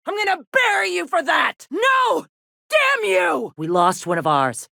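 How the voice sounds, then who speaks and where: An actress playing a tough, gruff female rebel leader in a video game, with a blunt, salty, commanding manner. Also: very high-pitched, wildly swooping pitch, a little slow, sharp tone, no echo, raised voice, clean studio sound, full character voice. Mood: grim, wary.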